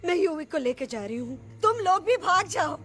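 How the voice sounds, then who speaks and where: A woman voicing an anguished, protective mother leopard in an animated film, emphatic and emotional. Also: extremely high pitch, moderate pace, full character voice. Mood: bitter, distraught.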